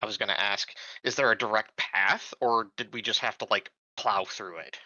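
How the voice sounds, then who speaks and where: A man's voice: fairly low pitch, fairly even intonation, normal speed, pushed and bright, no echo, lo-fi sound. A man chatting casually as a player in a tabletop game. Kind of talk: casual chat.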